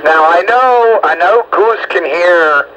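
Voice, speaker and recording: A man's voice: high-pitched, lively intonation, slow pace. Folksy man on static-filled CB radio. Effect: two-way radio transmission. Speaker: CB radio operator checking in callers. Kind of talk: casual radio chat. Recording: muffled CB radio with loud static.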